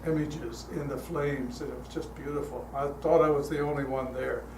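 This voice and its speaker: An older man speaking as a guest at a church, telling stories in a relaxed, chatty way with frequent fillers, his voice calm. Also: medium pitch, normal speed. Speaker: guest speaker leading a guided meditation. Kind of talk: storytelling talk to a congregation. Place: church hall. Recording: echoey hall, heard from a distance, noisy.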